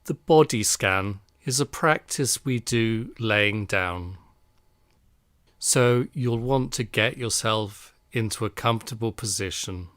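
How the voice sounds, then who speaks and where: A man guiding a relaxation meditation in a calm, soothing, unhurried manner. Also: on the low side, dry recording, clean studio sound. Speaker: meditation guide.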